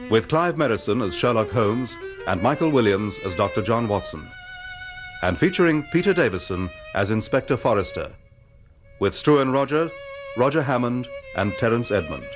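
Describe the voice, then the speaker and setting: A man's voice: mid-range voice, lively intonation, normal speed. A man reading scripted credits as a radio drama announcer. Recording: violin music behind the announcement. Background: violin music.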